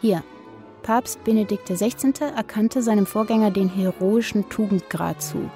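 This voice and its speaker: A woman's voice: medium pitch, normal speed, dark tone, faint music behind, lightly acted. A woman playing a curious reader who shares findings casually with companions in a radio play. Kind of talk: conversational radio play dialogue.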